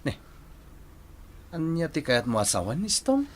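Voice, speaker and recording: Vain, wildly animated young bachelor. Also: male voice, fairly high pitch, a little slow, full character voice. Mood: amused, teasing. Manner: boastful, laughing, swinging delivery. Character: vain, teasing, choosy handsome bachelor son. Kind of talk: Ilocano radio drama acting.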